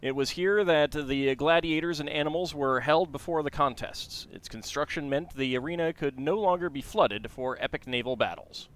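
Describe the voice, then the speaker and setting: A man's voice: a little high, moderate pace, hardly any pauses, a bit bright. A man casually chatting to his viewers while building a Lego set, reading facts aloud and talking to chat.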